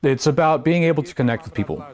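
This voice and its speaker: A man's voice: fairly high pitch, lively intonation, quick pace, a little mellow. A man in his forties answering interview questions in a modest, matter-of-fact way. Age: 40s.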